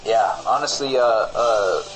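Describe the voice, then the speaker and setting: A man's voice: lowish voice, takes it slowly, soft background music, rough recording. A man co-hosting a comedy podcast, improvising mock-earnest banter. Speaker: podcast co-host.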